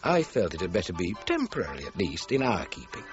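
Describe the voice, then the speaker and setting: A probing British male detective in a radio crime drama. His lowish voice rises and falls dramatically as he presses each pointed question. The sound is a little muffled. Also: wildly swooping pitch, fast talker, music in the background. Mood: pointed, shrewd. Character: probing, shrewd male police chief inspector.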